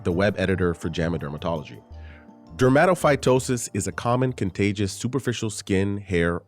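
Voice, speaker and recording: A man with a lowish voice hosts a podcast, reading his introduction clearly and asking steady questions. The sound is close and dry. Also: no echo. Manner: clear, composed, reads smoothly. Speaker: podcast host, journal web editor. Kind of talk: scripted introduction and interview questions.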